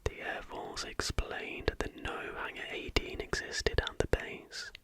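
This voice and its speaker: An ASMR host reads in a steady, breathy whisper with no voicing at all. The delivery is hushed and measured, in the manner of scripted narration. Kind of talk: ASMR reading of conspiracy history, scripted narration with brief asides.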